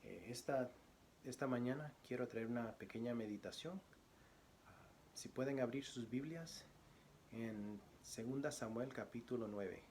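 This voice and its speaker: A man from Guatemala, a church deacon, introducing himself as a guest preacher and reading scripture, speaking softly with many pauses. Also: medium pitch, a little hiss or hum. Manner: soft-spoken, frequent pauses and fillers; louder, declamatory when reading scripture.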